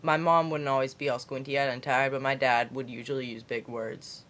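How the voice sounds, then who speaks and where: A teenage girl from Kentucky with a deep voice for a girl, rambling chattily in a late-night vlog from her basement. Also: fairly even intonation, moderate pace, fairly bright tone. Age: teens. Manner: chatty, rambling, lots of fillers and put-on voices. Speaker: vlogger.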